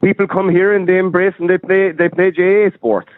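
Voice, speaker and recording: Earnest caller on a tinny phone line. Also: male voice, sits high, level tone, no echo. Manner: earnest, repeating words, halting restarts. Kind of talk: phone-in opinion. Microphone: telephone.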